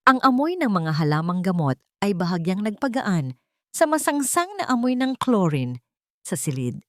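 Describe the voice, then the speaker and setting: A woman's voice: medium pitch, sing-song, moderate pace, dry recording, clean studio sound. A woman with a Filipino accent reading a scripted story aloud as a dramatic audiobook narrator.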